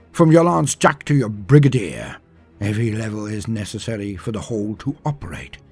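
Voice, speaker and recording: Guy doing epic lore narration, big swoops up and down, bit husky, faint music under it. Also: fairly low pitch, darkish tone. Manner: grandiose, emphatic, with deliberate dramatic pauses. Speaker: narrator of a fan lore channel. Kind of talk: dramatic scripted narration, oratory-like monologue.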